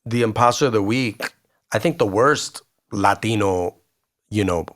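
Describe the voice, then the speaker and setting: A man's voice: on the low side, a little slow, fairly bright tone. A man co-hosting a casual film podcast, joking and hesitating with fillers. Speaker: podcast co-host.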